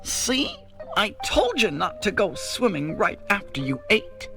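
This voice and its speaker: A man's voice: high-pitched, wildly swooping pitch, unhurried, vocal fry, over music, voice pushed up, full character voice. Stubborn scolding panther girl, bouncy lilting voice, man voicing. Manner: firm, scolding. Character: prim, stubborn young female panther.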